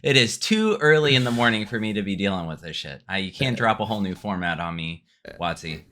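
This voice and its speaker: A man's voice: fairly low pitch, expressive, a little fast, bright, forceful tone. A man co-hosting a casual podcast, just woken up, griping and swearing with exasperation. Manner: profane, exasperated, emphatic. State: just woke up. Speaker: podcast co-host.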